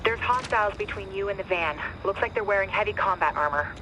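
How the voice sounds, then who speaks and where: An actress playing a steely, tense mission coordinator in a game, giving clipped, urgent orders with authority. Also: female voice, mid-range voice, fast, background noise, close to an everyday voice. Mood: brisk. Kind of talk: dramatic game voice acting.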